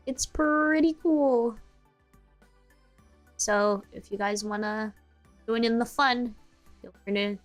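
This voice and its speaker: A young American woman streaming, chatting casually and sleepily, rambling with many fillers and restarts. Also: medium pitch. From United States.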